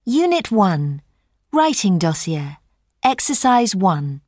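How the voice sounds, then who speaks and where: Female textbook narrator: measured, slow, bright melodic pitch, dry booth. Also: very animated, no room sound. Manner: measured, careful reading. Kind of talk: scripted exercise announcements.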